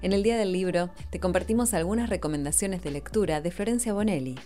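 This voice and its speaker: A woman's voice: fairly low pitch, fast, music in the background. A woman reading scripted narration for a feature report about culture.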